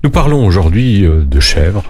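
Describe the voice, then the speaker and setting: A man with a deep, low voice presents a radio interview. He speaks as the host, introducing and questioning his guest. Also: sing-song, normal speed, a little mellow, slight background noise.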